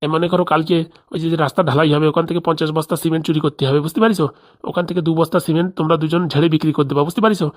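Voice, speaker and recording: Woman doing a shady village bigwig man, low voice, really fast, bossy, bengali country accent, funny cartoon. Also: fairly even intonation, natural voice. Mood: bossy, scheming. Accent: rural Bengali. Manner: scheming, bossy, repetitive. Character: crooked, bossy male village council boss. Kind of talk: comedy cartoon dialogue.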